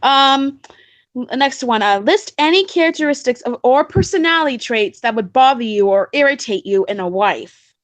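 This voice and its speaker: A woman's voice: sits high, animated, normal speed, no room sound, rough recording. A woman co-hosting a casual chat, reading questions aloud with a giggly manner.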